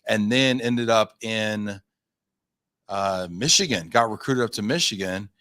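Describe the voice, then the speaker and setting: Male guest, 30s, lower voice, chatty rambler, dry close recording. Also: measured pace, a bit bright, no echo. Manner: chatty, self-deprecating, rambling storyteller. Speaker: guest, company founder. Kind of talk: casual interview talk.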